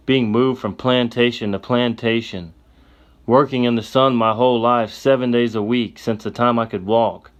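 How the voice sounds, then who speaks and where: A man voicing a weary woman in her thirties, a former slave, who speaks softly and plainly. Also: medium pitch, lo-fi sound, lightly acted. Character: weary freed slave woman, 32, quiet and steady.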